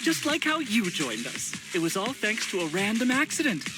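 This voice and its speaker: Smooth-talking, showy movie director for a game; high animated delivery, brisk, music loud behind. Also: male voice. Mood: breezy, reassuring. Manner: theatrical, brisk. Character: excitable, smooth-talking male film director. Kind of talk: video game voice acting.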